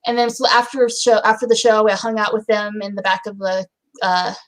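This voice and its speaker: A woman's voice: medium pitch, level tone, fairly quick. An American woman hosting a casual livestream chat, rambling along and amused at herself. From Utah, United States. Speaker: livestream host.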